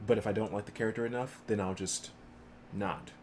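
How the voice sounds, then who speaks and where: A man with a low voice hosts a game livestream. He chats casually with viewers and comments on what he plays. There is slight background noise. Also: steady tone, fairly quick, a little mellow.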